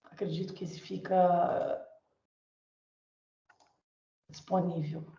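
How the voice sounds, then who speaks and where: A Brazilian woman lecturing an online class, her voice hoarse and gravelly from illness. She talks in a loose, chatty way with plenty of fillers and tag questions. The sound is echoey and somewhat dull. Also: on the low side, flat delivery, slow pace, dark tone. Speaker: Portuguese teacher.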